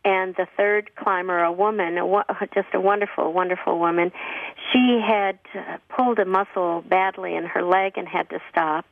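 A woman guest on a call-in radio show, her voice husky from a cough, answering in an earnest, plain-spoken way with frequent restarts. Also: on the low side, fairly even intonation, normal speed, dry recording. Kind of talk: relaxed conversational interview answers. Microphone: phone line. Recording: tinny distant phone line.

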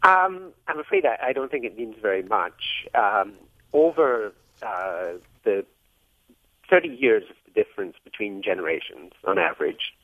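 A middle-aged man from Scotland speaks in a fairly low voice, explaining economics slowly with frequent uhs. His voice comes down a tinny, narrow phone line. The sound is dry and close. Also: no room sound. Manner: hesitant, many uhs, measured explanations. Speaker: economics professor guest. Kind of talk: radio interview answers.

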